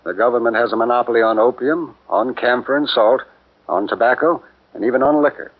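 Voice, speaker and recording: An actor playing a male missionary in a 1940s radio drama, explaining things with a steady delivery. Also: medium pitch, brisk, close to an everyday voice. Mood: earnest. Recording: muffled 1940s radio broadcast.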